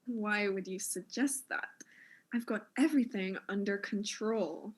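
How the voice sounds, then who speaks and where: An actress playing a vain, self-important fashion mogul in a comic audio drama, arch and condescending in manner. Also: female voice, medium pitch, a little slow, breathy edge. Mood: smug, dismissive.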